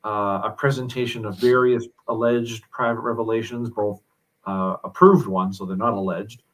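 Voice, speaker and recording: A man who is a guest on a talk show, explaining things thoughtfully with many pauses and fillers. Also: on the low side, steady tone, a little hiss or hum, rough recording. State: mild headache. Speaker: guest commentator. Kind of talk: casual discussion.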